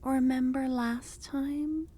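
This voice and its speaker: A woman voicing an obsessive, possessive young cultist in an ASMR fantasy roleplay, speaking in a soft, breathy half-whisper that coaxes and soothes. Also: sits high, steady tone, slow. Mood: soothing, possessive.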